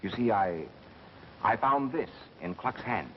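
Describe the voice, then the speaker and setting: An actor playing a calm, matter-of-fact radio engineer turned amateur detective in a 1930s mystery film. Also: male voice, lowish voice, a little slow, noisy background. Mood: reasoning. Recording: muffled 1930s film soundtrack with music.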